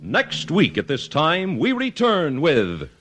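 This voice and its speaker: A man's voice: fairly high pitch, huge pitch swings, slow, fairly bright tone, soft background music. Dramatic, swooping American radio announcer man. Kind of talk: 1950s radio announcing, scripted. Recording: old 1950s radio broadcast recording.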